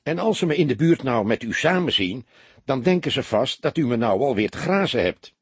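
A man's voice: medium pitch, sing-song, a little fast, husky, no echo, voice pushed up. A man voicing a shy, apologetic small-time crook, hesitant and wheedling, in an audiobook story. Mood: apologetic, pleading. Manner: hesitant, wheedling, colloquial Dutch.

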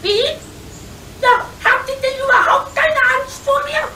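A woman acts out a whiny, eager young half-dragon in a children's radio play. The voice is piercingly high and childlike, with theatrical swoops. It sounds roomy, with loud noise around it. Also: a little slow, far from the mic. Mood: wailing.

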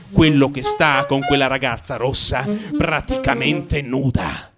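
Animated man performing a radio monologue. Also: medium pitch. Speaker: actor and monologue narrator. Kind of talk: theatrical first-person radio drama monologue.

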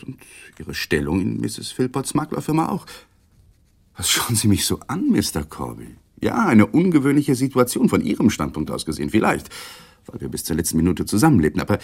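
Radio play: defensive widower, low husky voice with a lively swing, clipped and evasive. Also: male voice, full character voice. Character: tense, guarded male husband of a dead wife. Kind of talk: 1980s German radio drama acting.

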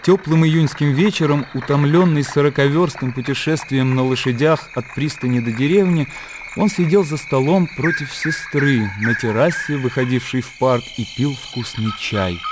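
A man reading scripted literary narration for a radio play in a measured, descriptive storytelling manner. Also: medium pitch, noisy background. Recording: clear outdoor nature ambience behind.

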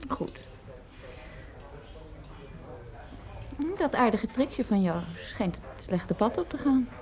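A woman's voice: a little high, expressive, a little slow. A middle-aged woman acting in a radio play as a fond mother of grown sons, motherly and a little hesitant. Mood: gossipy. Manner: motherly, hesitant, gently gossipy.

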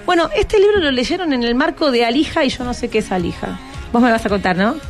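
Higher-pitched Argentine woman hosting radio. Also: lively intonation, fast talker, soft background music. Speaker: radio host interviewing school students. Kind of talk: conversational interview, prompting guests with questions. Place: radio studio. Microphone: studio microphone.

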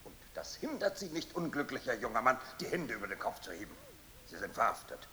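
An actor playing a stern, formal captain in a radio play, declaiming in an officious manner. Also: male voice, medium pitch, very animated, quick pace, sharp tone, slight background noise. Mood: commanding.

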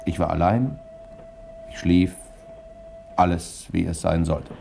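An actor playing a wry, flippant journalist with dry irony in a 1960s German radio crime drama. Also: male voice, low voice, slow, creaky, over loud music, heavily acted. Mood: wry narrating. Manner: ironic, dryly humorous. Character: wry journalist, flippant amateur sleuth narrating his adventure. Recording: noisy old 1960s radio recording.

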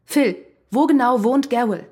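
A woman's voice: on the high side, lively intonation, unhurried, mellow. A woman acting in a radio crime drama as a shaken, bitter married woman who speaks directly. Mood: agitated. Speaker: actor in a crime radio play. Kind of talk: German radio drama dialogue.

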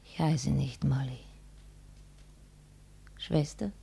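Husky, low-voiced devoted daughter. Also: female voice, drawn-out words, warm tone, heavily acted. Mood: subdued grief. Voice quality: husky, often whispery. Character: grieving, devoted daughter humouring her deluded father. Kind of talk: 1950 German radio drama acting.

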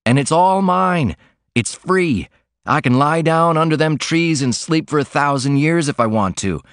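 A man's voice: on the high side, lively intonation, normal speed, no echo, clean studio sound, voice pushed up. Man putting on a rowdy boozy laundry worker. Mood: excited. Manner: loud, rough, reckless drawl.

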